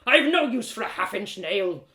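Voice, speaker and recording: Give me a woman acting out a gruff old village blacksmith from a storybook. He is stocky and blustering, with rustic turns of phrase and big swings in pitch. The sound is a little roomy. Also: big pitch swings, normal speed, sharp tone. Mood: scolding, blustering. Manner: rustic dialect, blustering exclamations. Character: gruff, stocky, red-bearded old male blacksmith, scolding yet genial. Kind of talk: storybook character voice.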